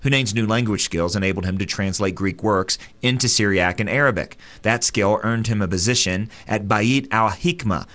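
A man delivering scripted radio commentary in an earnest, steady narrating voice. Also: lowish voice.

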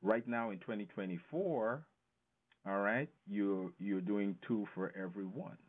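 Male expert guest, low register, emphatic explainer with rhetorical questions, phone-quality audio. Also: a little slow. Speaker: financial educator, interview guest. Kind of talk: explanatory radio interview talk. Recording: narrow, tinny phone-line sound.

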